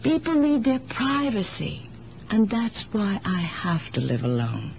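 An actress playing an independent, philosophical elderly aunt with a sharp mind, speaking deliberately in gently contrary riddles in 1970s radio drama style. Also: female voice, mid-range voice, big pitch swings, vocal fry. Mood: fond, firm. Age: older. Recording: dull, noisy 1970s radio broadcast recording.

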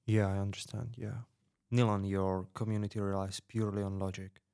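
A man playing a calm underground radio host in a fiction podcast, moderating gently. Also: lowish voice, a little fast, gravelly, clean tone, dry recording, studio quality. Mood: calm, attentive.

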